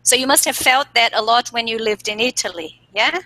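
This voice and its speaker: A woman's voice: fairly high pitch, brisk, sharp tone, no echo, low-quality recording. A woman interviewing guests in English, friendly, often ending her points with tag questions. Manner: friendly, asks questions, ends lines with tag questions. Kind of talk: conversational interview.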